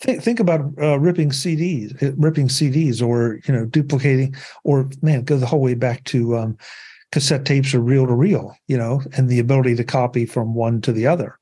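A man's voice: medium pitch, brisk, mellow, husky. A man hosting a casual panel discussion, talking in a rambling, conversational way with many fillers. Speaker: podcast host.

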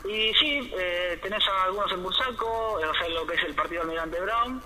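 A man from Buenos Aires, a guest on the phone, talking in a halting, repetitive way with many restarts. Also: sits high, bright, forceful tone, low-quality recording. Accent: Buenos Aires Spanish. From Argentina. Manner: halting, repeats words, grateful. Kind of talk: casual phone interview.

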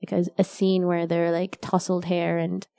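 A young woman whose first language is Arabic, chatting casually as a guest in an interview, self-deprecating and quick to laugh. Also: lowish voice, completely flat delivery, gravelly, warm tone, clear, resonant tone, no room sound, clean studio sound. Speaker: novelist guest.

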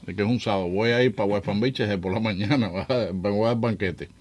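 A man's voice: fairly low pitch, brisk. An older man, hosting a radio talk show and telling stories with a joking, laughing manner. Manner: jokey storyteller, laughs often. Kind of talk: casual radio chat.

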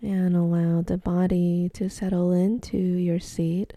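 A woman leading a guided meditation in a soft, breathy, often near-whispered voice, gentle and soothing, with long pauses between phrases. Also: lowish voice, little pitch movement, no room sound.

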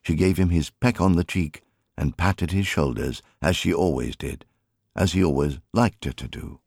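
A man narrating an audiobook as scripted literary fiction, in an expressive storytelling manner. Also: low-pitched, normal speed, creaky, breathy edge, no room sound, clean studio sound.

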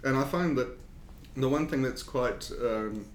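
A man with a South African accent, a consulting engineer talking as a podcast guest in a casual, hesitant way with many fillers and restarts. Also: measured pace. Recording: echoey room with faint rumble.

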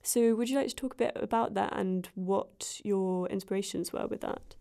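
A woman's voice: medium pitch, fairly even intonation, normal speed, gravelly, dark tone. A woman hosting an interview, asking long, rambling questions with frequent fillers. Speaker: interviewer host. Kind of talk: interview conversation.

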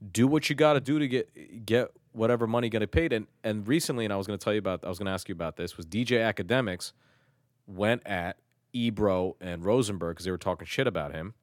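A man's voice: lowish voice, fast, dry recording, clean studio sound. A man from Turkey talking in loose casual chat, rambling and self-mocking, with lots of swearing and restarts.

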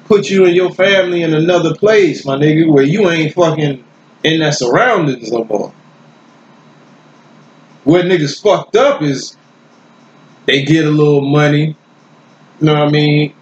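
A man's voice: fairly high pitch, unhurried, long pauses, a little hiss or hum. A man talking bluntly and profanely in a casual podcast chat, laying down street advice. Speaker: podcast co-host. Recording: muffled roomy recording.

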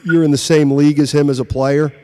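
A man's voice: fairly high pitch, steady tone, quick pace, mellow. A male sports reporter asking rambling, hesitant questions on a press conference call.

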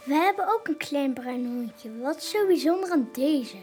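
A high young voice playing a cheeky, sceptical child in a children's radio play, blunt and curious. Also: female voice, animated, moderate pace, darkish tone, faint music behind.

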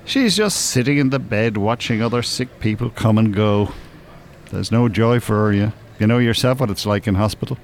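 A man's voice: on the low side, normal speed, slight background noise, close to an everyday voice. An actor playing an older Irish man, droll and wordy, with mock-pious teasing in a naturalistic radio drama. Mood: resigned.

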